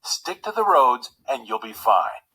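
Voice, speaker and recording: A man's voice: medium pitch, sing-song, measured pace, sharp tone, husky, heavily acted, low-quality recording. A man voicing an older royal valet in a storybook, loyal and frank, advising his master. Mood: blunt, urging. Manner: formal and stiff, turning blunt and casual.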